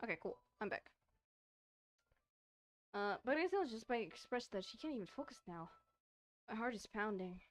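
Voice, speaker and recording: A woman's voice: a little high, expressive, moderate pace, long pauses, no echo. A woman doing casual, playful let's-play commentary on a visual novel, reading the on-screen text aloud between her own asides. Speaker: streamer playing a visual novel.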